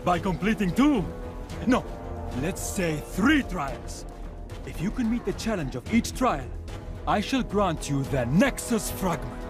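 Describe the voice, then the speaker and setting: Stately, lilting mystical keeper. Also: male voice, high voice, big pitch swings, unhurried. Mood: pleased, formal. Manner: formal, theatrical. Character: stately, courteous mystical keeper who sets trials. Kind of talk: animated video game character acting. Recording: music behind the voice.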